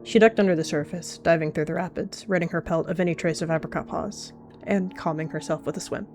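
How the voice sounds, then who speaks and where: A woman narrating her character's actions in an animal roleplay in an even, measured storytelling way. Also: lowish voice, music in the background. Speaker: roleplay player.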